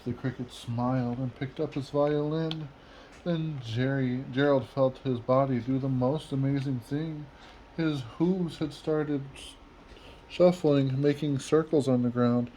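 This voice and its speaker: A man with a medium-pitched voice reads a children's book aloud. He is measured and expressive, like a dad telling a story at home. There is faint noise in the background. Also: low-quality recording. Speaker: father reading to child. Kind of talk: storybook read-aloud in rhyme.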